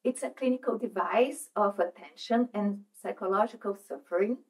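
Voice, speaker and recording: A middle-aged woman from Brazil with a Brazilian Portuguese accent, presenting as a psychoanalyst in a meeting room, pausing and restarting as she searches for English words. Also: mid-range voice, a little mellow, low-quality recording. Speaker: psychoanalyst speaker. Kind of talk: presentation at a seminar. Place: meeting room, seated at a table.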